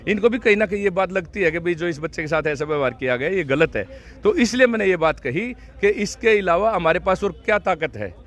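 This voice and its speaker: A man addresses a protest crowd and then a reporter with earnest, formal appeals. His voice is high for a man. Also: lively intonation, fairly quick. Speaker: protest speaker. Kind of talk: public address and interview.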